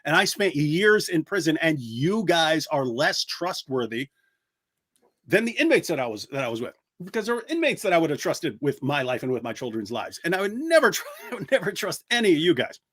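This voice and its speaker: Animated man with mocking falsetto jabs. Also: brisk. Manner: animated, mocking high falsetto impressions, many restarts. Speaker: co-host. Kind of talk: casual commentary chat.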